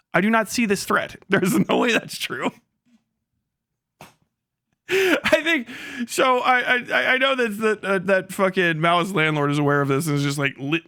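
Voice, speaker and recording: Bright, high-voiced male livestreamer. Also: no echo, clean studio sound. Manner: profane, rambling, stammering, amused. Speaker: live streamer, host of the channel. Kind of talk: casual livestream commentary with reading aloud.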